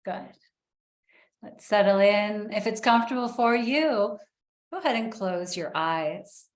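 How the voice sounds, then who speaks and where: A woman leading a guided breathing meditation in a soft, soothing way, with long pauses. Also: medium pitch, reverberant.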